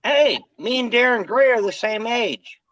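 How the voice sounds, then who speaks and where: A man's voice: very high-pitched, lively intonation, measured pace, pushed and bright, dry recording, dull audio. A man in his forties chatting casually and laughing, often restarting his sentences. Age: 40s. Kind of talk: casual chat.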